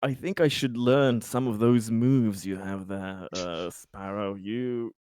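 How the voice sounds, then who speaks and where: A man playing a tabletop roleplaying game, speaking casually and haltingly, often stammering and repeating words. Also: lowish voice, fairly even intonation, a little mellow, no echo, clean studio sound.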